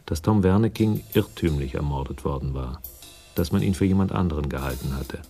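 An actor as the composed narrator of a detective radio drama, reading scripted prose. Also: male voice, deep voice, gravelly, dark tone.

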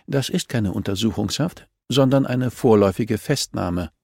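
A man voicing a cool, relentless policewoman in a crime audiobook, calm and insistent as she accuses a suspect. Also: lowish voice, normal speed, vocal fry, dry recording. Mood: firm.

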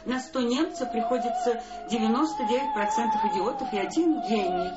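An actress playing a capricious, aggrieved diva wife, mannered and sing-song, with drawn-out words in a radio play. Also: female voice, high-pitched, wildly swooping pitch. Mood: capricious, tearful scorn. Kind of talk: theatrical monologue, radio play. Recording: dull echoey recording over loud opera music.

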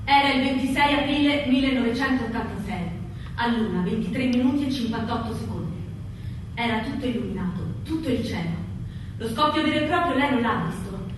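A woman's voice: a little high, fairly quick, natural voice. A woman playing a young fireman's wife, devoted, desperate and stubborn, in an intense, insistent style of radio drama acting. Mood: hushed narrating. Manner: insistent, repetitive pleading. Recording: echoey room from far off, faint noise.

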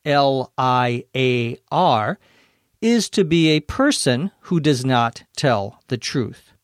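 A man's voice: fairly high pitch, dry recording. A man hosting an English lesson podcast. He patiently explains vocabulary and spells words out, with a mildly playful touch, into a close studio microphone. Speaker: English teacher and podcast host.

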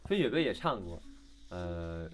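A woman's voice: a bass voice, sing-song, measured pace. A woman voice actor chatting casually as a guest in a group interview, often repeating phrases for emphasis. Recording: music playing behind the voice. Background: music.

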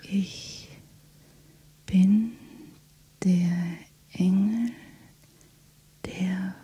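An actress voicing a solemn female angel of despair in a radio play, speaking in a slow, hushed, incantatory whisper. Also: mid-range voice, steady tone, slightly rough, no room sound, exaggerated voice. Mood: ominous whisper.

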